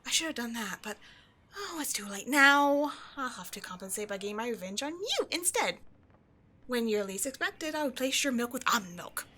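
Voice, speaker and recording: Girl doing a petty lady ghost from an old horror movie, bright crisp voice, hammy mock-sinister, gets flustered easy, audio roleplay. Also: a little high, sing-song, moderate pace, heavily acted. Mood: chatty, scheming. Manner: theatrical, mock-sinister, easily flustered and exasperated. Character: petty, melodramatic female ghost haunting an old horror film. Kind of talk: scripted comedic audio roleplay, addressed to the listener.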